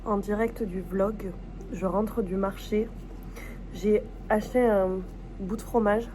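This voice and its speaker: A woman's voice: mid-range voice, fairly even intonation, slow, very noisy. Candid French woman vlogging casually. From southwest France. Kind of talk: casual personal vlog.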